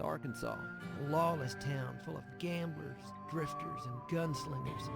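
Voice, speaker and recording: An actor playing a devout, sorrowful younger brother in a Western game, speaking solemnly and earnestly. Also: male voice, a little high, vocal fry, heavily acted. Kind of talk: dramatic game narration and acted dialogue.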